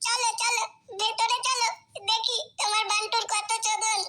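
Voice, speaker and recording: A woman's voice: very high-pitched, flat delivery, normal speed, pushed and bright. A woman voicing a brash, sassy village woman in a crude comic cartoon, with coarse delivery. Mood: coy, teasing.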